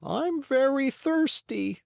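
A narrator voicing a big, helpful talking pigeon in a storybook, with a gentle, matter-of-fact manner. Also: high voice, lively intonation, slow pace, creaky, no room sound, studio quality, voice pushed up, full character voice. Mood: kindly advising.

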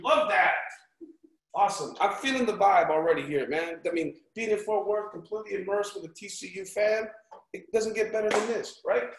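A man's voice: high voice, brisk. A man hosting a casual cooking class, chatty and encouraging, often checking in with his listeners as he demonstrates. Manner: upbeat, encouraging, chatty with frequent check-ins like "right?" and "okay?". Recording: echoey room, background noise.

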